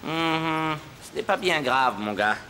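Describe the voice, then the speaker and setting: A feisty, fatherly newspaper chief from a cartoon. His emphatic speech is fairly high and swoops expressively, with clear noise and clicks behind it. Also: animated, slow, pushed and bright, exaggerated voice. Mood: combative. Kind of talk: French cartoon voice acting.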